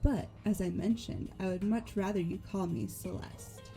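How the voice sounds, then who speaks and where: A woman playing a polite but forceful, deceptive young lady gambler with a refined, measured manner. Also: mid-range voice, big pitch swings, gravelly, soft background music.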